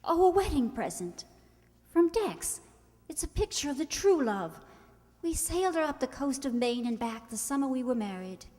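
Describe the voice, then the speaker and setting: A woman playing a proud, sharp-tongued young society bride in an amateur radio play, theatrical and exasperated. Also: high-pitched, big pitch swings, moderate pace. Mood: wistful. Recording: echoey hall, long reverb.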